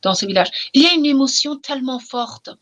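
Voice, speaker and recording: A middle-aged woman from Iran speaks French with a clear Persian accent, which she learned as an adult. Her voice sits fairly high for a woman. She talks with passion and emphasis, and she pauses often and repeats phrases to drive her point home. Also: animated, a bit bright, muffled recording. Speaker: novelist, interview guest. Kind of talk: reflective interview talk.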